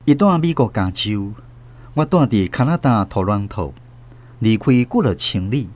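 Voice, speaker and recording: A man's voice: lowish voice, animated, a little slow, a little mellow. An actor playing an elderly grandfather with a Taiwanese accent, narrating a radio drama in a tender, wistful, literary way. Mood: tender, reflective. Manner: literary, emotive storytelling.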